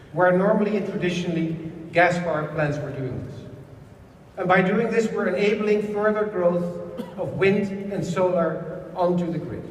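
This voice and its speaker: A man giving an earnest, salesy startup pitch on stage, with a few stumbles. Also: a little hiss or hum. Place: large event hall. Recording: echoey hall, voice from far off.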